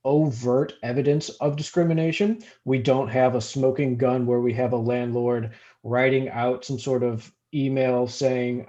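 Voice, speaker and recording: A man explaining his professional view haltingly in an unscripted panel discussion over a video call. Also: mid-range voice, warm tone. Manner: halting, explanatory, many hesitations. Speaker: panelist, housing discrimination professional. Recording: dull, lo-fi video call audio.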